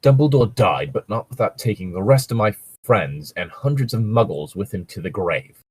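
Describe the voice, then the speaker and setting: A man's voice: on the low side, animated, moderate pace, darkish tone, breathy edge. A man reading aloud as a bitter, grieving young wizard who survived a war, in a fan fiction story.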